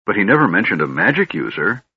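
A man's voice: low-pitched, very animated, quick pace, slightly rough, pitched above the performer's own voice, heavily acted. A man voicing a stern, suspicious noble knight who speaks in a clipped, guarded way in an audiobook. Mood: puzzled. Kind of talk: audiobook character voice. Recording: dull, slightly lo-fi recording.

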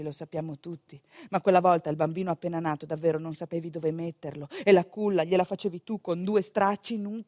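A woman with a northeastern Italian accent telling a theatrical monologue in an expressive, conversational manner. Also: medium pitch, no echo, studio quality. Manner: expressive, conversational, with rhetorical questions and asides. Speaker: stage actor and storyteller.

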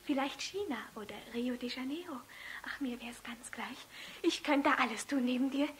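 An actress playing a dreamy, adoring young woman in love in a German radio play, high and breathy, often close to a whisper. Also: normal speed, fairly bright tone. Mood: dreamy, rapturous. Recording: hissy old radio recording.

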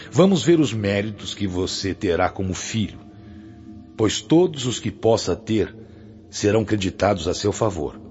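A male actor with a Brazilian accent playing a stern, pious spirit mentor in a radio drama, preaching in a solemn, measured way. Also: sits low. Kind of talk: radio drama acting in Portuguese. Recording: dull lo-fi audio with loud music.

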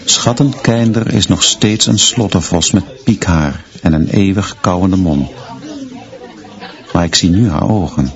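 An actor playing a brooding schoolteacher, narrating in measured, deliberate short phrases in a literary radio drama. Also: male voice, low-pitched. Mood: brooding, contemplative. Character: brooding male schoolteacher narrating his observations of pupils. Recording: dull, muffled sound.

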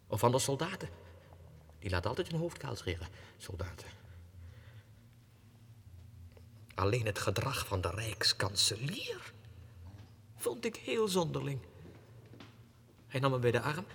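An actor playing a deranged clerk who believes he is a king, in an erratic radio drama monologue that swings between breathy hushed confiding and agitated outbursts. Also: mid-range voice, a little hiss or hum, lightly acted. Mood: hushed wonder, then indignant, grandiose. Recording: reverberant 1960s radio studio recording.

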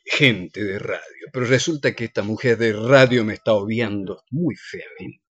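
A man's voice: lowish voice, moderate pace, fairly bright tone, breathy edge, no echo. Dull-sounding recording of a playful male radio host. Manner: playful teasing, addresses listeners directly. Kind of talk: casual live radio interview.

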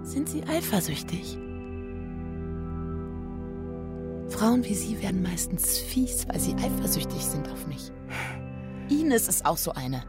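A woman's voice: medium pitch, sing-song, measured pace, loud music behind the voice. An actress playing a jealous, manipulative woman in a radio crime drama, shifting between controlled sweet talk and menace. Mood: cutting, indignant. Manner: controlled, soothing endearments turning to menace.

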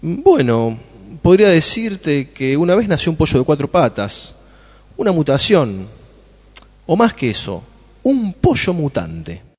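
An excitable Argentine farmer in a radio drama, full of big dreams. His colloquial speech swings widely in pitch, and a long reverb surrounds the voice. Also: measured pace, breathy edge, full character voice. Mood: proud, chatty. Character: Germán, enthusiastic dreamy male farmer from a farming family. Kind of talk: radio play acting.

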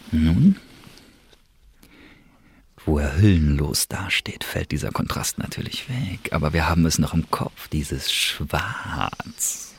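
An actor playing a sensual, voyeuristic man in a radio monologue, musing intimately in a hushed, breathy, lingering voice. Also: a bass voice, slight background noise, heavily acted. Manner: breathy, whispering, slow, lingering. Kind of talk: German radio drama monologue.

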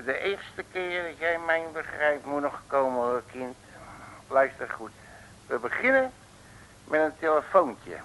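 An actor playing a cocky, boastful detective who talks in brash, bossy slang, in the style of a 1950s radio comedy serial. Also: male voice, fairly high pitch, animated, measured pace, pushed and bright, slight background noise, exaggerated voice. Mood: brash, impatient. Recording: dull old radio recording.

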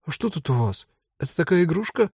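A man's voice: on the high side, sing-song, brisk, a little mellow, husky, no room sound, clean studio sound, voice pushed up, heavily acted. A man voicing an earnest, timid twelve-year-old girl who rambles and pleads in an audiobook story. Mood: timid curiosity.

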